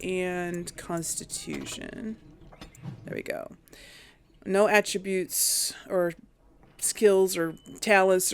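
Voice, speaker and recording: A woman's voice: medium pitch, fairly bright tone, a little hiss or hum. A woman giving casual let's play commentary, talking unhurriedly and thinking aloud as she goes. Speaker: game streamer narrating a role play.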